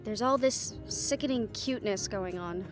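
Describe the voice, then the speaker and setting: A woman's voice: medium pitch, moderate pace, close to an everyday voice. A woman playing a witty, confident young female adventurer in a playful, conversational audio roleplay. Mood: wry. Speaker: amateur voice actor. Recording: music behind the voice.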